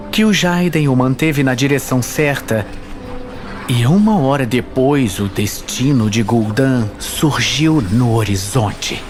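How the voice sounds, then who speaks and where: A man with a Brazilian accent reading dramatic scripted fantasy narration as the storyteller. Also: medium pitch.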